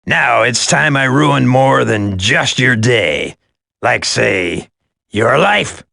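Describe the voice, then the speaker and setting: A man's voice: mid-range voice, expressive, slow pace, vocal fry, bright, forceful tone, no echo, studio quality, heavily acted. A man playing a smug, sadistic terrorist villain in a video game, with a taunting, drawling delivery. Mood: sadistic, menacing.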